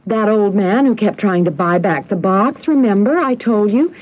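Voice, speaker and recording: An actress playing an anxious, curious wife in a radio drama. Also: female voice, medium pitch, moderate pace, lightly acted. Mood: suspicious. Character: anxious, curious wife puzzling over a burglary. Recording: muffled, noisy old radio broadcast.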